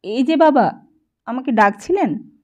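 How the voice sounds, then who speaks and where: A woman voicing a gentle, humble, devoted mother in an audiobook, speaking in a reassuring, admonishing way. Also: high-pitched, expressive, measured pace, lo-fi sound, voice pushed up. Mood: deferential. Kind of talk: audiobook character voice.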